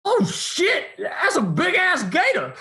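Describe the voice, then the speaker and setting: A man's voice: very high voice, wildly swooping pitch, takes it slowly, heavy background noise, heavily acted. A man playing a kindly, folksy old grandfather with a Southern US accent in an audio drama. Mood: startled.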